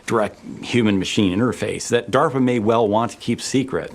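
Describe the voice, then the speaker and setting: A man's voice: on the low side, moderate pace. A man giving explanatory commentary as a documentary interviewee. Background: music.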